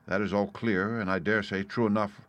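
A man voicing a composed, shrewd gentleman doctor in an audiobook, measured and courteous in manner. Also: on the low side, fairly even intonation, no room sound, lightly acted. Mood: calm, reasoning. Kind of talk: audiobook character voice.

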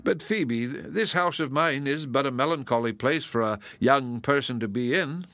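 A man reading aloud and voicing a gloomy, lonesome old woman who speaks in a mournful, sighing, halting way. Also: mid-range voice, fairly bright tone, dry recording, pitched above the performer's own voice, natural voice.

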